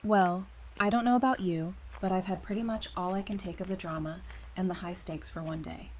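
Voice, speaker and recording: A woman's voice: lowish voice, fairly even intonation, fairly quick, a little mellow, natural voice, poor audio. A woman playing a wry, friendly, easygoing survivor in casual audio drama dialogue. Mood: casual, inviting. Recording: noticeable background ambience and noise.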